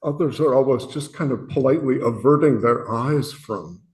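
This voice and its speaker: Booming-voiced man giving a halting, earnest message. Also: darkish tone. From Illinois, USA. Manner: earnest, halting, self-deprecating with frequent restarts. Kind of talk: reflective spoken message.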